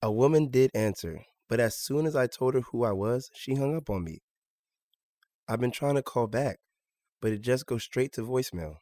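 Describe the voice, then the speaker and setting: A man's voice: medium pitch, animated, normal speed, mellow, no room sound, studio quality, close to an everyday voice. A man playing an earnest, stubborn seventeen-year-old son in a naturalistic audio drama, polite but insistent.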